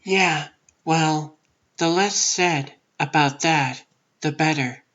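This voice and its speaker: A man's voice: a little high, slow pace, fairly bright tone, muffled recording. A man voicing a guarded but friendly woman reporter in a comic book dub.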